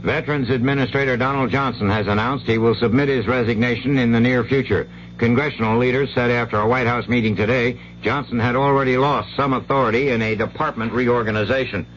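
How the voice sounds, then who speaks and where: A man's voice: mid-range voice, fairly even intonation, brisk, highs cut off, a little hiss or hum. An American man reading a scripted radio newscast as anchor on an old 1970s broadcast. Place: radio studio.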